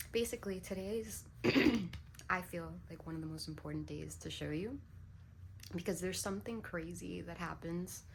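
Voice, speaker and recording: Young woman vlogger, a little hoarse, low-pitched with vocal fry; musing, unscripted, throat-clearing delivery. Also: slight background noise. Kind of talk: casual reflective vlog talk.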